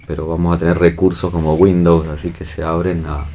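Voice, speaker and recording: A man's voice: low voice, flat delivery, fairly quick, dark tone. A man talking casually as a guest in an interview.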